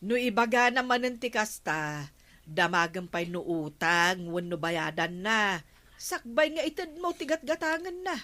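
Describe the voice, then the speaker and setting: Sharp, nagging Ilocano village woman. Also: mid-range voice, a little slow. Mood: scolding. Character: nagging, sharp-tongued village woman minding store debts. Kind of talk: Ilocano radio comedy drama acting.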